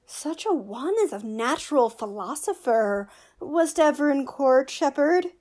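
A woman's voice: high voice, lively intonation, unhurried, darkish tone. A woman playing a witty, pompous male court fool who teases with mock logic in a Shakespearean radio play. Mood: mock-solemn, teasing.

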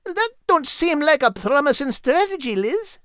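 Kate, squeaky Scottish terrier. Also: sing-song, moderate pace, fairly bright tone, no room sound, clean studio sound. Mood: doubtful. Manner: folksy, plain-spoken. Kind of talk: audiobook character voice.

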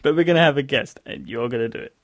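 A man co-hosting a casual podcast, with a voice in a middle pitch and a gravelly edge. He slips in dry, teasing remarks. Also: quick pace. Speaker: podcast co-host.

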